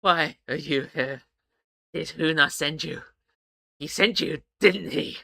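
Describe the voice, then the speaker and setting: A woman's voice: creaky, no room sound, clean studio sound, exaggerated voice. A woman voicing a cold, menacing unhinged woman. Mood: hostile threats. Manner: slow, heavy, low and quiet, then swelling.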